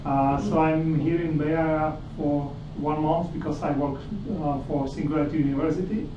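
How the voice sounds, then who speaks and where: Halting higher-voiced Czech man, echoey room. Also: steady tone, muffled recording. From Czech Republic. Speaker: meetup attendee. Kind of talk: informal self-introduction. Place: indoor meetup room. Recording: distant, echoey room, faint noise.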